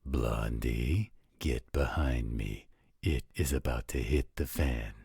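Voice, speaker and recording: Man as a cocky werewolf, extremely low, very raspy, blunt, unhurried, roleplay audio drama. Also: warm tone, no echo, clean studio sound, full character voice. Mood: defiant. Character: gruff, defiant, cocky male werewolf.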